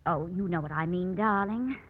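An actress playing an ambitious, suspicious, domineering politician's wife in a 1950s radio drama. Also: female voice, fairly low pitch, normal speed, slightly rough, dry recording, phone-quality sound. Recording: thin, band-limited vintage radio recording.

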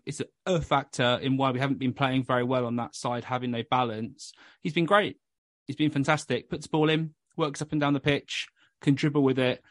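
Man hosting football podcast, a bit high voice, dry sound, blunt banter. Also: a bit bright, dry recording. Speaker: podcast host. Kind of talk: casual football chat.

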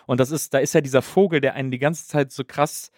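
A man hosting a podcast, warmly effusive in his introductions and casual chat, often pausing on fillers. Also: medium pitch, steady tone, dry recording, clean studio sound. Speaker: podcast host.

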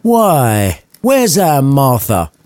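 Doting Victorian father, done by a male narrator: poor, gentle, earnest, raised pitch, storybook emotion. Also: huge pitch swings, very slow, dry recording, exaggerated voice. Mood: puzzled.

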